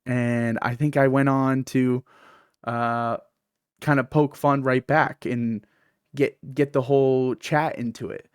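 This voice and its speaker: A man's voice: medium pitch, level tone, slow, clear, resonant tone, dry recording, clean studio sound. A man with a lisp who says th as f, hosting a casual solo podcast in a candid, self-deprecating, rambling way. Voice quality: th pronounced as f. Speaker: podcast host and streamer.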